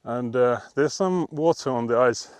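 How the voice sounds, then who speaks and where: A man's voice: medium pitch, moderate pace, a little mellow, slight background noise. A man with a Finnish accent, chatting casually and laughing often while live-streaming on a frozen lake. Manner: chatty, laughs often, reads chat aloud.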